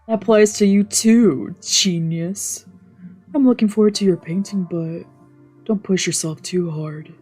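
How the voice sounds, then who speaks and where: A woman voicing a gentle, teasing young man from a visual novel in a soft, candid manner. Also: medium pitch, measured pace, faint music behind, lightly acted. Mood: teasing, caring.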